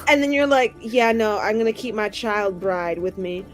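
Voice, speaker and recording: A woman in expressive, slangy casual chat about a tabletop game, acting out bits of dialogue as she retells them. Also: mid-range voice, moderate pace. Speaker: tabletop roleplaying game player. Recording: music playing underneath.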